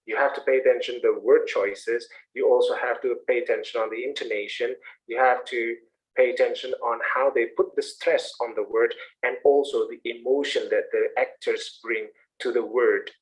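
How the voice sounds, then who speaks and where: Encouraging Indonesian-accented English instructor. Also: male voice, medium pitch, fairly even intonation, moderate pace, poor audio. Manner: explanatory, encouraging. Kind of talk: answering questions in an online class. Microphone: online video call.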